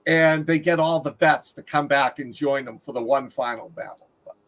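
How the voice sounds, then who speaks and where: A man's voice: fairly high pitch, moderate pace, fairly bright tone. A man chatting casually and explaining things as a panelist in a film discussion. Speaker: podcast panelist discussing films.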